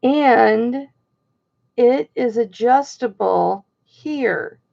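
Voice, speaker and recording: Slow, gravelly-voiced woman auction host. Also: fairly high pitch, mellow, lo-fi sound. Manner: chatty, greets viewers by name. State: slightly hoarse. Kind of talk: casual live-stream auctioneering.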